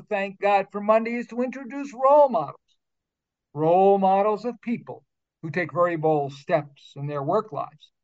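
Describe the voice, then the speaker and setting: A man's voice: high-pitched, highs cut off. A man hosting a talk show, speaking in a formal, upbeat, carefully enunciated way. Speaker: radio show host. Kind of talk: scripted show introduction and interview.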